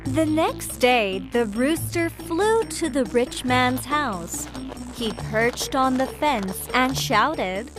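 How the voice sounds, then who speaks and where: A woman narrating a children's fairytale from a script, in clearly phrased storytelling style. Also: high voice, animated, slow, over music.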